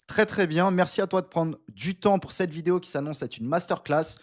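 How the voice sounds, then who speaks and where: A man hosting an online interview, upbeat and energetic as he presents and asks questions. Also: fairly high pitch, a little fast, no room sound, clean studio sound. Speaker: host.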